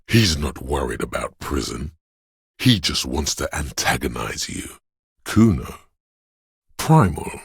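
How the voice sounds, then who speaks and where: Game narrator casting: menacing primal instinct, gravel-heavy male voice, unhurried, deliberate, very dry close sound. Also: no echo, heavily acted. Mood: urgent, gruff, then violent, primal. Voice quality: gravelly, husky, very deep. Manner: brooding, deliberate, ominous. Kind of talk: dramatic second-person game narration.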